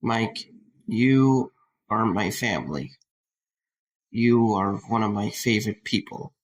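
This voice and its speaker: A man playing a grieving, awkward young former security guard in an audio drama, speaking haltingly. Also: lowish voice, poor audio. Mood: somber. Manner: halting, hesitant.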